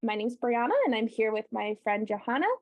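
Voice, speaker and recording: A woman's voice: on the high side, fairly even intonation, a little fast, a little mellow. A woman from the US co-hosting a casual chat, upbeat and often laughing. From United States. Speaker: podcast co-host.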